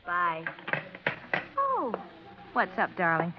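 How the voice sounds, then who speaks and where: An American actress playing a flirtatious, self-willed party hostess in a 1950s radio drama. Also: female voice, on the low side, wildly swooping pitch, extremely slow, faint music behind, full character voice. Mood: coy, light. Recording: dull, lo-fi old radio recording.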